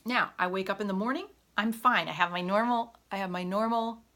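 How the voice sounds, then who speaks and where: A woman chatting in an unscripted home vlog, often pausing with fillers and correcting herself, her voice hoarse at times. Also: mid-range voice, sharp tone. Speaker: vlogger. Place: home room. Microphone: camera on a tripod.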